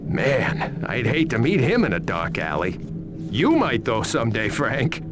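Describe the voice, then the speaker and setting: An actor playing a brash, needling police interrogator in a video game cutscene. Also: male voice, medium pitch, measured pace, loud music behind the voice. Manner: needling, animated.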